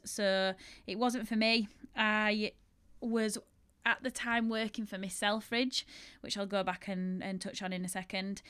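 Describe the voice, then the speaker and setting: A woman's voice: mid-range voice, a bit bright, clean tone. A young woman with a strong Yorkshire accent, chatting casually and self-deprecatingly as she tells her own story on her podcast. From England. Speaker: podcast host and bridal shop owner.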